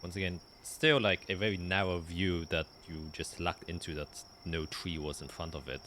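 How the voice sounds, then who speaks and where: Very deep-voiced man running a tabletop game. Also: fairly even intonation, moderate pace, sharp tone, slight background noise. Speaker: game master of a roleplaying show. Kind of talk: improvised tabletop game narration.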